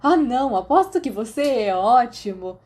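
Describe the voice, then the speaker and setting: A woman puts on an eager younger schoolboy for an audiobook. The voice is pitched up, excitable and insistent, full of admiration. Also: moderate pace, close to an everyday voice. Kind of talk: audiobook character acting.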